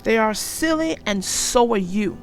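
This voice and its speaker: A woman's voice: fairly high pitch, animated, measured pace, soft background music. A woman voicing a grumpy, haughty male engine who snaps and scorns in a storybook reading. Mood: cross. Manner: snappish, scornful.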